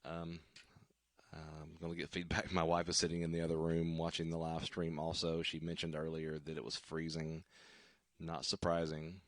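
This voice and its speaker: Deep deep guy, voice all scratchy and tired, lots of ums, dry close sound. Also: completely flat delivery, dry recording. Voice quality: deep baritone. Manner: halting, many ums and restarts. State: worn-out, scratchy voice. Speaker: worship singer hosting a livestream. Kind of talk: casual livestream sign-off chat. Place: at home.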